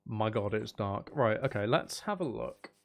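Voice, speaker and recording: A man's voice: lowish voice, lively intonation, normal speed, gravelly. A man giving casual live commentary while streaming a video game, muttering wry, exasperated asides to himself.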